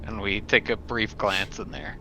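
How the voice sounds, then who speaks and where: Bright, forceful man in casual game chat. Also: fairly low pitch, normal speed. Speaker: tabletop roleplaying player. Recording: dull lo-fi sound, faint noise.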